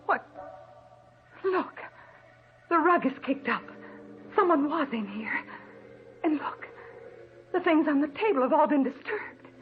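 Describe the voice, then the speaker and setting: Tinny old radio sound carries a nervous, excitable wife. Her voice is high and breathless, with the fretful melodrama of a 1940s thriller. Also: unhurried, long pauses, slight background noise. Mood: anxious. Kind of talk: 1940s radio drama acting. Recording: tinny band-limited old radio recording.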